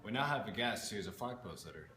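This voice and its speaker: Low-voiced man anchoring in an echoey room. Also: expressive, very fast, noisy background. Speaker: news anchor. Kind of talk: amateur scripted mock 1920s news report. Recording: roomy echo, music underneath.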